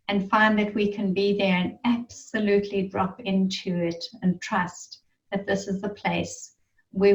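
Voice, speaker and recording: A woman leading a guided meditation in a soothing, reverent manner, leaving long pauses between phrases. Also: mid-range voice. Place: online group gathering. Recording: muffled, roomy, distant online-call sound.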